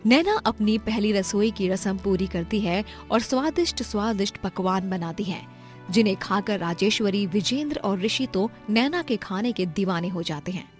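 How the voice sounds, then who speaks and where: A woman's voice: medium pitch, moderate pace, faint music behind. A woman narrating a scripted moral story as a storyteller.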